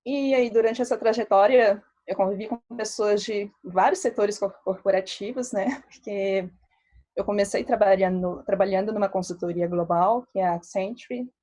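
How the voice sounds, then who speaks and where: A woman in her thirties from Belo Horizonte with a mixed Minas and Rio accent, a statistician giving a relaxed, chatty talk with many fillers. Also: medium pitch, moderate pace. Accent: Brazilian Portuguese, mixed Minas Gerais and Rio. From Belo Horizonte, Brazil. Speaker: statistician presenting to a meetup. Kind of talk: casual talk.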